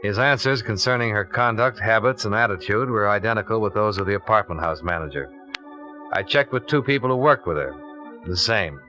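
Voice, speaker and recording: An actor playing a cool, methodical insurance investigator in a 1950s radio drama, clipped and matter-of-fact. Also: male voice, lowish voice, brisk, pushed and bright. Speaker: lead actor and narrator. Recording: dull vintage radio recording, music.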